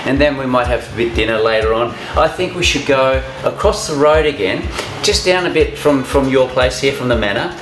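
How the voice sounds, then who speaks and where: A man's voice: mid-range voice, expressive, brisk, hardly any pauses, a bit bright, reverberant, faint music behind. A man hosting a travel show, chatting in an upbeat, casual way with local slang.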